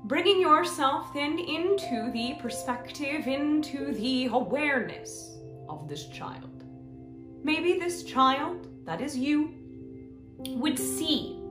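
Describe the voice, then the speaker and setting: A woman voicing a benevolent, serene extraterrestrial guide in a guided meditation, with deliberate, hypnotic, repetitive phrasing and long pauses. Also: high voice. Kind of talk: slow channeled guided meditation. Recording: roomy echo, soft music behind.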